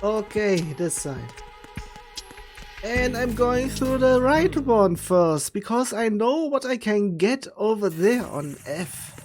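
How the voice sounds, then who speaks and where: A game streamer giving casual, halting commentary, muttering along while playing. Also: mid-range voice, animated, music in the background. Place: voice over game audio with music behind.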